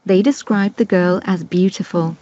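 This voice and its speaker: A woman announcer reading an exam listening recording slowly and evenly. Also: mid-range voice. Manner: slow, clear, even reading. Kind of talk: slow scripted exam recording. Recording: dull dry lo-fi playback.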